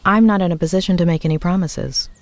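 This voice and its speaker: An actress playing a composed, analytical female psychotherapist in a video game drama, speaking in a measured, reflective way. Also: lowish voice, no echo, lightly acted. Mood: guarded. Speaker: therapist, doctor. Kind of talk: video game drama acting, inner monologue and dialogue.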